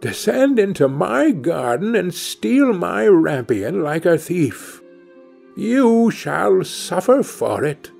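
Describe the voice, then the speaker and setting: Storybook enchantress, stern and commanding, slow imperious delivery, husky edge, performed by a male reader. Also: sits high, very animated, a little mellow, voice pushed up, heavily acted. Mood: angry. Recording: music under the voice.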